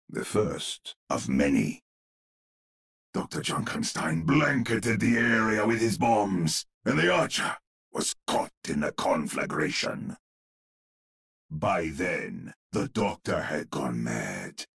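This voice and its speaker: An actor playing a grim narrator of gothic horror tales in a video game, with a gravelly, husky voice and grandiose, suspenseful delivery. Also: male voice, on the low side, fairly bright tone, exaggerated voice. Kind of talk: theatrical gothic horror storytelling.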